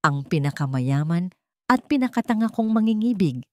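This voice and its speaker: A woman's voice: on the low side, sing-song, moderate pace, darkish tone, no room sound. A woman narrator acts out a greedy young Filipina fiancée in a romance audio story. She gloats and mocks under a sugary surface. The sound is close and very dry. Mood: mocking. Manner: gloating, sugary when deceiving. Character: greedy, scheming young gold-digger fiancée, smug and mocking.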